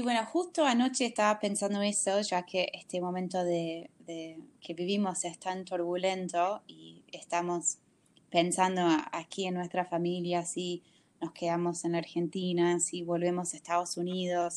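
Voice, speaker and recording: A woman in her forties from the United States with an American accent, speaking Spanish on a video call as an interview guest. She talks thoughtfully, searching for words with frequent pauses. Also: fairly low pitch. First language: English. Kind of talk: reflective video call conversation.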